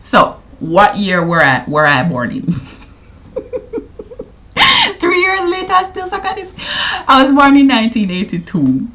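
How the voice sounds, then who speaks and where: A woman's voice: mid-range voice, huge pitch swings, measured pace, pauses often, a bit bright. Chatty Jamaican woman vlogging. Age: 30s. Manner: chatty and playful, slipping into patois. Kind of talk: casual anniversary vlog to camera.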